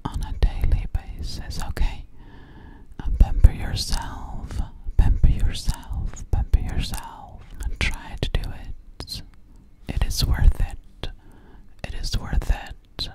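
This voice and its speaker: A woman whispering breathily and soothingly, close to the microphone, repeating words in an ASMR personal attention roleplay as a sweet, slightly sassy alien girl. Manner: slow, repetitive, soothing, close to the mic. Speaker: ASMR creator playing a character. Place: small dim room, indoors. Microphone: microphone right at the mouth.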